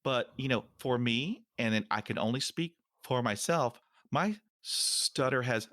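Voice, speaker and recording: Slow-talking podcast host guy, stutters sometimes, bright voice, dry sound. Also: fairly low pitch, no room sound. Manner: stutters now and then, slow and deliberate. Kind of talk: podcast interview.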